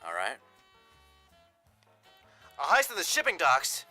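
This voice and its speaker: An actor playing a plain-spoken, earnest young hired thug in a 1930s radio drama. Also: male voice, on the high side, huge pitch swings, slow, pushed and bright, faint music behind, heavily acted. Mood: deferential. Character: tough, earnest young male hired thug, devoted expectant father.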